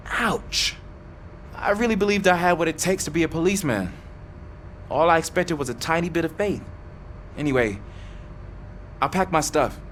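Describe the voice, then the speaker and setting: A self-deprecating, discouraged would-be officer in a video game. The voice sits fairly high and sounds resigned, with faint noise in the background. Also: male voice, lively intonation, normal speed, pauses often, fairly bright tone. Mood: hurt, dejected. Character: dejected, self-deprecating aspiring male police officer. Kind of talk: video game cutscene acting.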